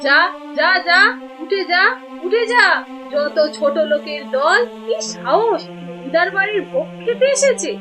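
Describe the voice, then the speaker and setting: A woman's voice: very high voice, animated, measured pace. A woman playing a landlord's proud, dramatic wife in a melodramatic cartoon. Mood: outraged, scolding. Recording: muffled, loud music behind.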